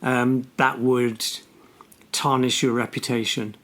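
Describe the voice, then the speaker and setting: A man's voice: medium pitch, little pitch movement, slow pace, fairly bright tone. A man co-hosting a podcast, asking questions and making dry, teasing jokes. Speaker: podcast co-host. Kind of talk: casual conversational chat.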